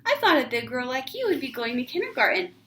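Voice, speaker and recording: A woman's voice: high-pitched, sing-song, fairly bright tone, slight background noise. A woman voicing a gushing, nosy neighbor lady in a storybook, exclaiming and drawing out her words as she talks down to a child. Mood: gushing surprise. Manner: exclaiming, drawn-out, sugary.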